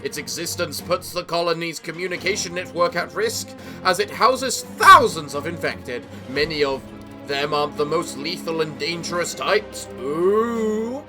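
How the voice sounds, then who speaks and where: Lively high-voiced English man. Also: unhurried, over music. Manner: theatrical gentleman persona, grand greeting. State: sick, voice sounds off. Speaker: gaming YouTuber. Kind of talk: casual let's-play commentary.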